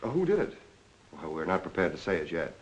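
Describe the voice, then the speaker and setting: A steady police detective in a forties crime picture. His voice is on the low side, polite and measured as he probes. A faint hiss sits on the old film sound. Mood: probing, businesslike. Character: steady, businesslike police lieutenant questioning witnesses. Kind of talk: 1940s crime film acting.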